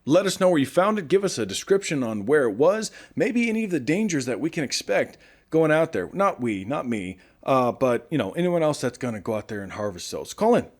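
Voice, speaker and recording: A man's voice: a little high, very animated, brisk. A man playing a friendly, earnest morning radio host in a post-apocalyptic audio drama, chatty and rambling.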